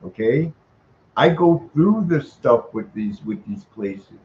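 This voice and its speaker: A man's voice: mid-range voice, lively intonation, slow pace. An older man making an informal complaint call, emphatic and aggrieved, repeating himself as he rambles. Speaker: caller, tenant making a complaint. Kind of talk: informal phone complaint, venting. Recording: dull lo-fi sound with background noise.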